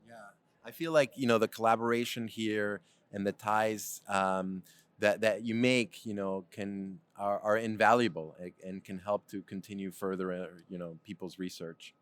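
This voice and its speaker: A man's voice: on the low side, fairly even intonation, moderate pace, no echo. A man hosting interviews on a convention floor, friendly and chatty, with fillers like "you know". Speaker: interviewing host.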